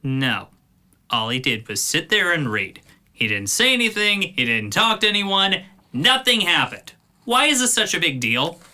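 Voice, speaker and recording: A man's voice: a little high, very animated, measured pace, bright, forceful tone, exaggerated voice. A man playing a resentful, sarcastic young son in an audio drama, sullen and grudging in manner. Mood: defensive, scornful.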